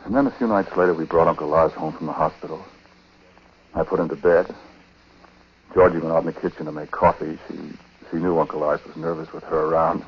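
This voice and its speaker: Troubled husband and dutiful nephew, very low voice, halting confessional delivery, vintage radio drama with muffled, hissy sound. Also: male voice, steady tone. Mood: subdued. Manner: halting, conversational. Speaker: lead actor and narrator. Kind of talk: 1940s radio acting, first-person confessional narration. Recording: noisy, muffled 1940s radio recording.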